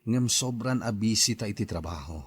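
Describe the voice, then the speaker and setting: A man's voice: on the low side, moderate pace, breathy edge. A man with a Filipino accent playing an earnest young husband and father in a radio drama. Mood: concerned, alarmed.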